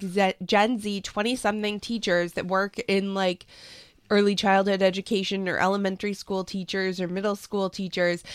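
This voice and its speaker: A woman co-hosting an opinionated talk show, sniffly, talking fast and loose with lots of "like"s. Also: medium pitch, clear, resonant tone, dry recording. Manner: quick reactions, talky with many "like"s.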